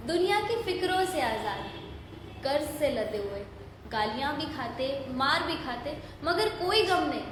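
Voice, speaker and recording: A woman narrating a scripted story for an audio play. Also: high-pitched. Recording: echoey hall, long reverb, faint noise.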